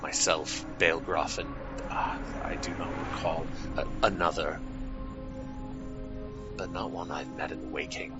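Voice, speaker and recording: Casting: man as a grave, sorrowful immortal fae noble for audio drama; very low pitch, stately halting delivery, scored with music. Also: fairly even intonation, dull audio. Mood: grave, haunted, then grieving, confused. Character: weary, haunted fae storyteller-lord, mournful and gravely formal. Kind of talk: fantasy audio drama acting.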